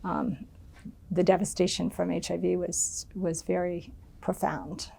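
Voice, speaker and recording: Middle-aged american woman, low voice w/ some fry, lots of ums, thinking out loud. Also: fairly even intonation, a little slow. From United States. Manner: thoughtful, many ums and restarts. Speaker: interviewee. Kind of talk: oral history interview.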